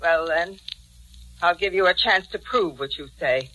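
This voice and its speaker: An actress playing a jealous, domineering middle-aged invalid wife in a 1940s radio drama, imperious and accusing. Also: female voice, on the low side, unhurried, sharp tone, rough recording. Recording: vintage radio recording with faint noise.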